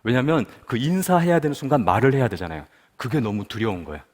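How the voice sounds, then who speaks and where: A man's voice: medium pitch, expressive, quick pace, darkish tone, long reverb tail. A man from Busan giving a personal testimony at a prayer meeting, telling his story and asking the audience questions. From Busan, South Korea. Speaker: guest speaker at a prayer meeting.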